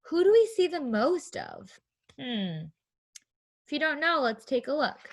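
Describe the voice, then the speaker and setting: A woman's voice: high-pitched, big pitch swings, measured pace. A woman teaching young children in an interactive read-aloud, speaking in simple, encouraging phrases with guiding questions and a sing-song delivery. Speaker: preschool teacher reading a story aloud.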